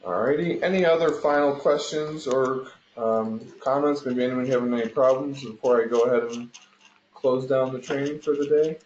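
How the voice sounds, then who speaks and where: A man instructing an online class, walking students through steps informally and explanatorily, often checking in with a quick "right?". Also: medium pitch, fairly even intonation, a little mellow, a little hiss or hum. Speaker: instructor teaching an online game design class. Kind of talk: informal screen-share walkthrough to students. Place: indoor room, online conference call. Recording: roomy, dull conference call audio.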